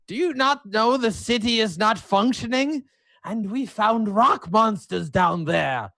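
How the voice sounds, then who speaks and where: A man acts out a haughty young nobleman in a tabletop game, very high in pitch and bright. His delivery swings widely and is pompous, formal and emphatic. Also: animated, measured pace, vocal fry, no echo, studio quality, full character voice. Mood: insistent. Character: haughty, pleading young male aristocrat adventurer.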